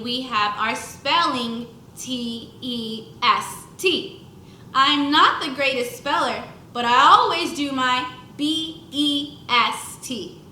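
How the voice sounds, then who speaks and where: A woman's voice: high-pitched, sharp tone, echoey room, slight background noise. A woman teacher reading a children's book aloud expressively to young pupils, acting out the lines and adding short explanations. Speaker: first grade teacher.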